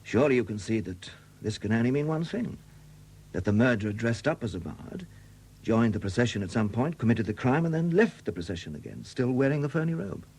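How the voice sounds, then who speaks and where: An actor playing a shrewd, relentless police superintendent in a 1970s radio drama, questioning in a deliberate, courteous but insistent way. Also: male voice, medium pitch, very animated, a little mellow, slightly rough, low-quality recording. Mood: methodical, insistent. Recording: dated 1970s radio recording.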